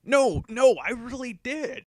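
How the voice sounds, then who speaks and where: A man acts out a lovesick cartoon villain with a high voice for a male. He is awkward and hot-tempered, a clumsy would-be kidnapper in a parody dub, recorded close and dry. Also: expressive, slow pace, dry recording, exaggerated voice. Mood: defensive, eager. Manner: awkward, stammering when caught out.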